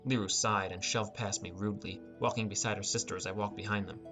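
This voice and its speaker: A man's voice: lowish voice, fairly even intonation, fast talker, over music. A man narrating a scripted first-person fantasy adventure as an audiobook.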